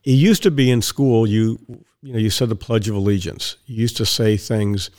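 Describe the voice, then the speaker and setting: A man with a fairly low, hoarse, raspy voice holds forth on his own podcast. He talks in a rambling, digressive monologue, circling back and repeating himself as he goes. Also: normal speed, darkish tone. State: hoarse from strained vocal cords. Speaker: podcast host.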